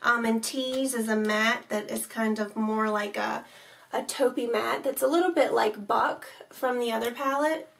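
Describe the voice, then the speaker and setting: Slightly raspy young woman with a cold. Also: fairly high pitch, steady tone, normal speed, fairly bright tone, far from the mic. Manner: chatty, detailed product talk. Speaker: beauty vlogger co-host. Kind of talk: casual chat reviewing makeup.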